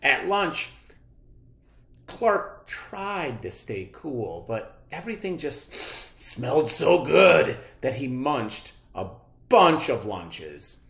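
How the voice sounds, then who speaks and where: A read-aloud storyteller narrating a children's book with dramatic, expressive emphasis. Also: medium pitch, drawn-out words, vocal fry, reverberant.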